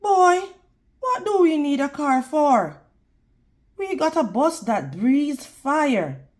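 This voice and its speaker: A woman reading a storybook as a cheerful, big-hearted old grandmother who gently chides. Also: high-pitched, very animated, slow, pitched above the performer's own voice, exaggerated voice. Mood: upbeat, chiding.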